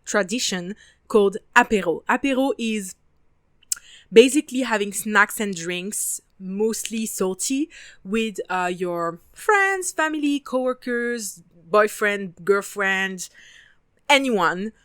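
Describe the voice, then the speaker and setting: A woman's voice: mid-range voice, animated, slow, a bit bright, no room sound, studio quality. A talkative French woman in her twenties, hosting her own podcast in English with a French accent and native French, swearing casually as she chats. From France (Paris). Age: 20s. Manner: chatty and talkative, casual swearing, frequent laughter. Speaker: podcast host. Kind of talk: casual solo podcast monologue.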